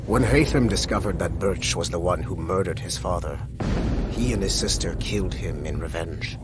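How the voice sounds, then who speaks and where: An actor playing a cold, solemn zealot, delivering a formal, measured narrated monologue in a video game. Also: male voice, low-pitched, over loud music, natural voice. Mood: cold, reflective. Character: cold, solemn male zealot recounting history with disdain. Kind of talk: character monologue, scripted narration.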